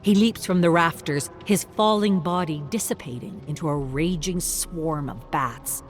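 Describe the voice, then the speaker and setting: A narrator telling a gothic vampire-hunting tale for a game in a measured, dramatic, solemn way, as scripted story narration. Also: mid-range voice, soft background music, natural voice. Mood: grave, suspenseful.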